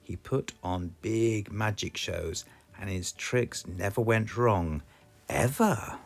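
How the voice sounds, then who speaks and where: A man with a British accent reading a children's storybook aloud in a slow, expressive, sing-song way. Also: fairly low pitch, gravelly. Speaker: storybook reader.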